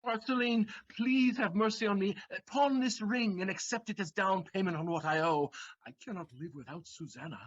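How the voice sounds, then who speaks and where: An actor playing an emotional, witty young manservant in an audio play, pleading and theatrical. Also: male voice, high-pitched, moderate pace, dull audio. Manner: pleading, theatrical, laughs through tears. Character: emotional young male servant, witty and excitable.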